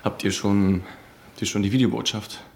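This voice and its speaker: A man's voice: lowish voice, steady tone, normal speed, slight background noise, lightly acted. An actor playing a foul-mouthed, agitated young male activist with slangy, abrasive delivery in a radio crime drama. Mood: defiant.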